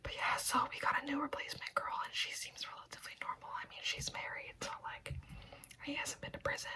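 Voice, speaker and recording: A woman's voice: brisk, sharp tone. A young woman in an ASMR roleplay, whispering as a chatty, gossipy nail technician.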